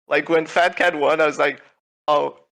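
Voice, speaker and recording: A man's voice: a little high, steady tone, normal speed, gravelly, fairly bright tone. A young man from Switzerland, a tournament winner speaking as a guest in a casual interview. He talks in a laid-back, humble way, full of fillers and restarts. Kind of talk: casual video-call interview.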